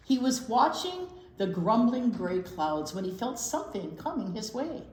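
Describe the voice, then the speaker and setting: Woman, children's read-aloud, expressive, question-asking, lively intonation, slow pace, echoing room. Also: a little high. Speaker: children's storytime teacher and reader. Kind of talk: picture-book read-aloud for young children. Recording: roomy, echoing indoor sound.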